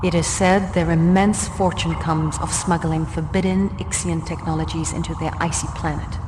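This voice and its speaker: An actress playing a solemn, knowing adviser in a game cutscene, measured and portentous. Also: female voice, low voice, fairly even intonation, lightly acted. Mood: solemn, wary. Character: solemn, knowing female adviser warning of rival house. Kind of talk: video game cutscene narration. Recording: long cavernous reverb, faint noise.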